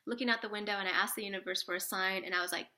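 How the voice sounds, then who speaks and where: A woman with a cold, sniffly and a little rough, chatting casually to camera as a spiritual vlogger, rambling with lots of fillers and restarts. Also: medium pitch, fairly even intonation, very fast, bright, forceful tone.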